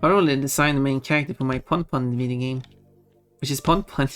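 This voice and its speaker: A casual streamer with a mid-pitched voice of ambiguous gender chats over soft music. The talk rambles and doubles back to correct itself, with giggly little asides. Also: a little fast. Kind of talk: casual livestream chat. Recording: music behind the voice. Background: background music.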